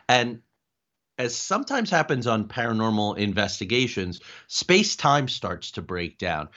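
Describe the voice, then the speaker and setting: A man's voice: on the low side, a bit bright. A middle-aged American man speaking as an interview guest, telling stories conversationally. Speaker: interview guest, paranormal investigator and writer. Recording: dull, band-limited lo-fi audio.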